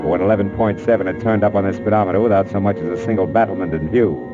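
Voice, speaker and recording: An actor playing a wry, skeptical private detective in 1940s radio drama style, deadpan and wisecracking, with first-person noir narration. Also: male voice, deep voice, rapid-fire, music in the background, natural voice. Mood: wry narrating. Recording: muffled, narrowband old radio recording.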